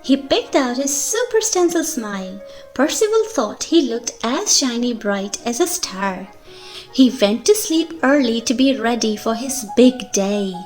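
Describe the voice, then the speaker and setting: High-pitched, animated woman reading a storybook. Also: soft background music. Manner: slow, animated storytelling for young children. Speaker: children's story reader. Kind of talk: expressive picture-book read-aloud.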